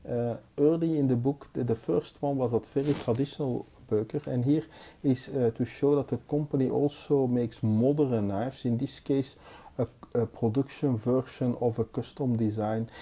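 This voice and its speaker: Mellow, dark-voiced Belgian man reviewing. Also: mid-range voice, fairly even intonation. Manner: hesitant, halting, unscripted delivery. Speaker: knife collector and reviewer. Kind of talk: casual hands-on review commentary. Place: indoors, at a table.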